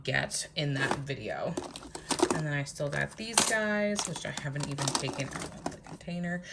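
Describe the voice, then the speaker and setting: A woman's voice: deep voice, heavy background noise. A woman giving a rambling, chatty vlog tour of her nail supplies in a home guest room, with lots of filler words, while losing her voice. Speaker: nail art vlogger. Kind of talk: casual show-and-tell vlog.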